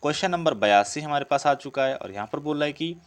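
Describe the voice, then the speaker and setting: A man's voice: fairly high pitch, animated, fast. A man teaching through exam practice questions, explaining step by step and repeating key words. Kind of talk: instructional walkthrough of quiz questions.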